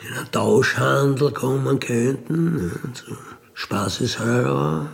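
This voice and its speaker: A middle-aged man with a Bavarian accent tells first-person anecdotes casually, rambling along with colloquial tag questions and throat clearing. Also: mid-range voice, slow. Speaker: storyteller, self-described burglar.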